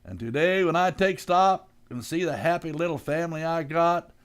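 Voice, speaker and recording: An actor playing a blustery, bumbling, well-meaning working-class dad in a live old-time radio comedy, with folksy, ungrammatical, theatrical talk. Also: male voice, on the high side, normal speed, clean tone, close to an everyday voice. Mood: reassuring, folksy.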